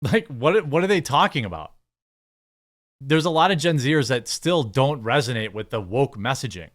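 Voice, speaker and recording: An American man with a mid-pitched voice hosts a news commentary show. He moves between reading articles aloud and reacting in his own words. His delivery is blunt and sarcastic, with exasperated asides. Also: lively intonation, moderate pace.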